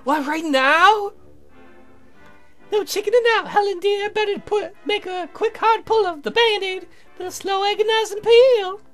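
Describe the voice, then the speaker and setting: A woman's voice: extremely high pitch, measured pace, fairly bright tone, faint music behind. A woman voicing a flirty, gushing society lady from a visual novel in a playful, affected, teasing way. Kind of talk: theatrical visual novel character reading.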